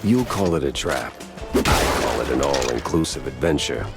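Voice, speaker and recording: A man's voice: a bass voice, lively intonation, moderate pace, creaky, over loud music, full character voice. A man playing a hard-boiled, wisecracking mouse private eye in a noir video game, with a dry, sardonic, unflappable manner.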